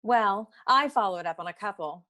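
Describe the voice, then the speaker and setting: An actress playing a brisk, know-it-all detective agency secretary in a noir comedy audio play, curt and dryly superior. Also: female voice, medium pitch, animated, pushed and bright. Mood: matter-of-fact, superior.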